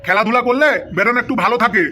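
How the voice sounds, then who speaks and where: A man's voice: extremely high pitch, very fast, over music. A man voicing an exasperated, sarcastic male doctor who scolds his patients in a comedy cartoon.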